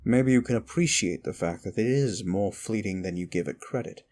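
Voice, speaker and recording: A man's voice: fairly low pitch, moderate pace, a little mellow, lightly acted. A man playing a sly, evil demon who taunts and manipulates in an intimate ASMR roleplay, teasing and condescending. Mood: sly, brooding.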